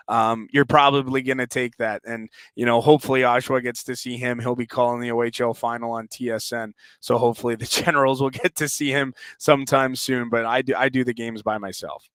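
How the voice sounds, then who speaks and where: A man's voice: medium pitch, a bit bright, clear, resonant tone, dry recording. A man, a sports announcer talking as an interview guest. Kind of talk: interview talk.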